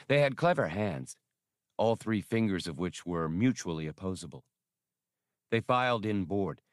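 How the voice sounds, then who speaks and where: A man's voice: sits low, no echo. A man giving a scripted audiobook narration of adventure fiction, recorded close to the microphone.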